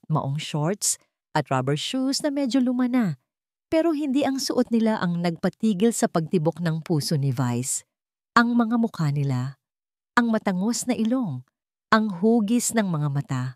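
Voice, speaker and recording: A woman's voice: low-pitched, a little mellow, no echo, studio quality. A woman narrating a scripted romance drama in a theatrical, suspenseful way. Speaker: story narrator.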